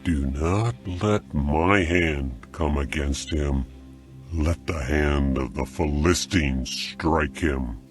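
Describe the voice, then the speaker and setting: An actor playing a jealous, scheming older king in a dramatized scripture audio drama, speaking slowly and broodingly. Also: rumbling low, soft background music. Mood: quietly scheming.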